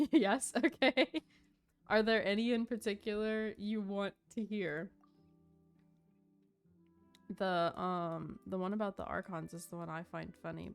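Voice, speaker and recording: Girl streaming a game, gushy n casual, kinda giggly, bit of music in the back. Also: mid-range voice. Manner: gushing, informal, giggly. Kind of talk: casual live stream commentary.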